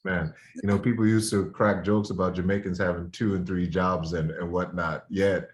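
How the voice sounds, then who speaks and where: A man's voice: deep voice, fairly even intonation, moderate pace, echoey room. A man hosting an interview, enthusiastic, cutting in with eager follow-up questions. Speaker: host.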